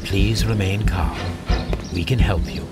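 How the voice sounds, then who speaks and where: An actor playing a confident, probing Victorian English gentleman detective in a video game, speaking precisely and formally. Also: on the low side, fairly even intonation, a little slow, very noisy, natural voice. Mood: urgent pleading. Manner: precise, formal, self-assured. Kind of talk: video game cutscene acting.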